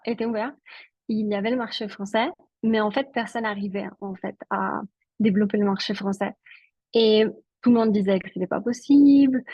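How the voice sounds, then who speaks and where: A woman's voice: mid-range voice, darkish tone, husky, no echo, highs cut off. A woman from Switzerland whose first language is Italian, speaking as an interview guest in a chatty way, with many filler words. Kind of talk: casual interview talk.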